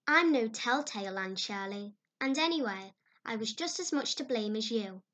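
Woman voicing a spirited young girl from a storybook, excited, emphatic. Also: fairly high pitch, normal speed.

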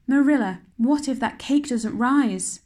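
A woman voicing an eager, chattering young girl who rushes her words and pleads. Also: high-pitched, darkish tone, voice pushed up. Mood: anxious. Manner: breathless, rushing, pleading.

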